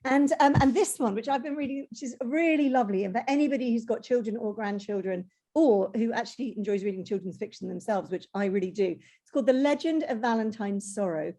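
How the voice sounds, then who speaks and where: A woman's voice: a little high, fast, few pauses, warm tone. A woman hosting an interview, chatty and welcoming as she introduces and questions her guest. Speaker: host.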